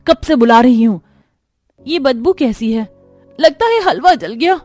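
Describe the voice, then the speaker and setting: A woman's voice: high-pitched, expressive, measured pace, darkish tone, no room sound, soft background music, voice pushed up. A woman voicing a rude, haughty young stepsister who scolds shrilly, a cartoon villain. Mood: irritated.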